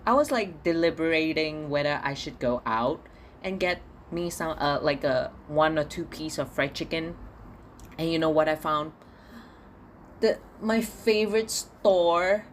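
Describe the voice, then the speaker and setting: A man's voice: a little high, a bit bright, slight background noise. Young singapore guy venting into a podcast at home, swears a lot, stumbles on words. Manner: swearing, exasperated ranting with stammered repeats. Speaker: podcast host. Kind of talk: casual solo podcast diary.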